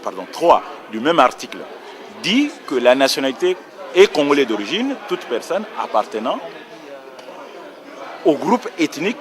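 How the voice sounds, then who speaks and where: A man's voice: fairly high pitch, sing-song, normal speed, long pauses, a crowd in the background. A man explaining a legal point on a panel in a precise, lecturing way, correcting himself as he goes. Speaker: round table panelist on nationality law.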